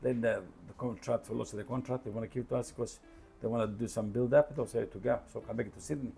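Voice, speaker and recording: An older man from Calabria with a strong Italian accent, chatting while cooking, halting and repeating words, slipping into Italian. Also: mid-range voice, steady tone, creaky, dark tone, faint music behind. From Calabria, Italy. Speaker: home cook. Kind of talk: casual chat while cooking.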